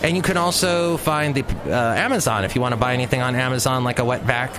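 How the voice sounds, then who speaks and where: A man's voice: mid-range voice, fast. A man hosting his own podcast in a rambling, jokey monologue with playful asides. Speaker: podcast host. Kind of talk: casual solo podcast monologue.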